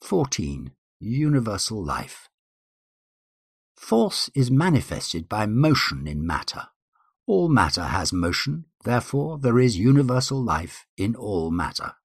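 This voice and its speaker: Male reader, husky and very expressive in pitch, formal scripted delivery, close dry sound. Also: a little slow, dry recording. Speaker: guest reader. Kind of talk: formal scripted reading of numbered principles.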